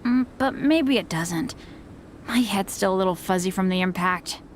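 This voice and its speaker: A woman's voice: mid-range voice, normal speed, a little hiss or hum, lightly acted. An actress playing a good-natured, humble teenage boy adventurer in a video game, speaking in a mild, hesitant, earnest way. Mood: uncertain. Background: game music.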